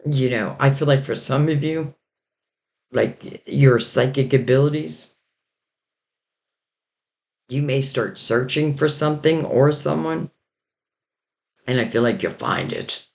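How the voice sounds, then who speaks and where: A man giving a rambling, casual tarot reading while losing his voice and sounding hoarse. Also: mid-range voice, takes it slowly, long pauses. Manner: rambling, loose and intuitive, many "like" and "you know". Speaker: tarot reader.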